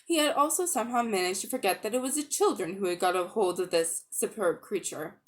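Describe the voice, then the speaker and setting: Female storyteller, medium pitch, expressive dramatic narration, children's audiobook reading. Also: brisk. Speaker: audiobook reader.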